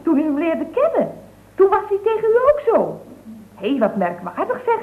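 A woman's voice: very high-pitched, huge pitch swings, normal speed, narrow, tinny audio, full character voice. A woman playing a chatty, mischievous office secretary in an old radio comedy, gossipy, teasing and mock-innocent. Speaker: actress in a radio comedy serial. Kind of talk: 1970s radio comedy acting.

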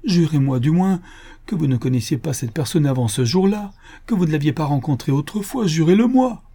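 A man's voice: medium pitch, fairly quick, dark tone, voice pushed up, lightly acted. A man reading the part of an anguished young woman who pleads and begs in a classic novel audiobook. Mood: pleading. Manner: desperate, imploring.

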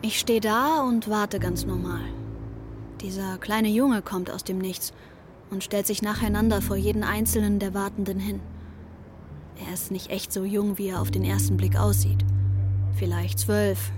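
A woman's voice: medium pitch, fairly even intonation, normal speed, background noise, natural voice. An actress playing an intense, troubled young woman who narrates her breakdown in a wry, matter-of-fact way in a radio play.

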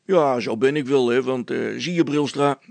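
A man's voice: medium pitch, normal speed, creaky, a little mellow, dry recording, close to an everyday voice. A man voicing a gullible, jovial village constable in a storybook, chatty and hearty, repeating himself as he rambles. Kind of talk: storybook character acting.

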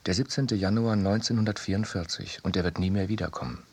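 Sober radio-drama narrator: very low, raspy-edged, composed and precise literary storytelling. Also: male voice, quick pace. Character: sober second narrator recalling family and films.

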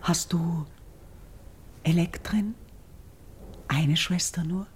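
A noble priestess in a classical radio play. Her voice is low and mellow, with a breathy husk. She declaims verse solemnly and pleads with tender gravity. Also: exaggerated voice. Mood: pleading, earnest. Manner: solemn, elevated, measured declamation with breathy hushed phrases. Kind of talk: classical German verse radio drama acting.

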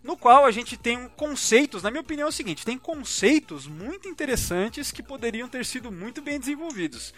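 A man's voice: extremely high pitch, big pitch swings, fairly quick, few pauses, fairly bright tone. A Brazilian man hosting a casual podcast, talking in an informal, slangy, rambling way as he comments on episodes. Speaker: podcast host.

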